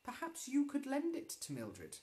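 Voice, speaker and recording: Brief: man doing a strict, frosty witch-school teacher, commanding, raised pitch. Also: huge pitch swings, normal speed, a little mellow, full character voice. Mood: stern. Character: stern, icy middle-aged woman witch teacher. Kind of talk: children's storybook reading.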